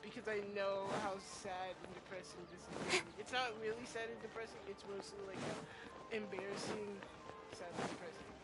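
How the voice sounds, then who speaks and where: A woman's voice: mid-range voice, a little fast, low-quality recording. A woman giving casual, rambling, fannish commentary as she streams a game playthrough, with plenty of slang. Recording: game music behind.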